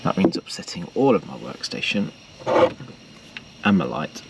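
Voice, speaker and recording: A low-voiced English man in his forties talks his viewers through breakfast in the cramped cabin of a camper van, full of chatty, self-mocking asides. He sits back from the camera mic. Loud background noise runs under his voice. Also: very animated, normal speed, creaky, far from the mic. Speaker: vanlife vlogger. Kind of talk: casual cooking vlog narration. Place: inside a small camper van. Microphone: camera mic across a small table.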